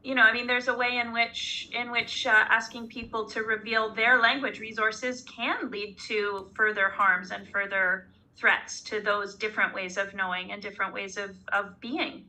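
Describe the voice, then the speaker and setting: A woman from Canada speaks in an online seminar as an urban studies scholar. Her voice is very bright and sharp. She thinks aloud, hesitating and restarting her sentences, at a mid pitch for a woman. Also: level tone, moderate pace, rough recording. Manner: thoughtful, hesitant, with many restarts and "you know". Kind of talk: reflective academic seminar discussion.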